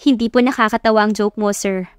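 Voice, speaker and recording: A woman's voice: mid-range voice, fairly even intonation, fast, smooth, dry recording, clean studio sound, faint music behind, close to an everyday voice. Woman playing an earnest, polite young college woman. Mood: hesitant, protesting.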